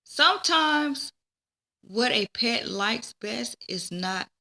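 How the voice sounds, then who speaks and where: Woman reading as a patient, creaky-voiced kindly man. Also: fairly high pitch, expressive, slow pace, pushed and bright, full character voice. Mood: patient, instructive. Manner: patient, explanatory. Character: kindly, instructive adult man advising a child.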